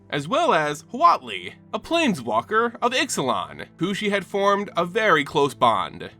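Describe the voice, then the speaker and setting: A man with a fairly high, lively voice narrates fantasy lore in a dramatic, scripted style. His pitch moves around a lot as he tells it like an expressive storyteller. Soft music plays behind him. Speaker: host of a fantasy game lore channel.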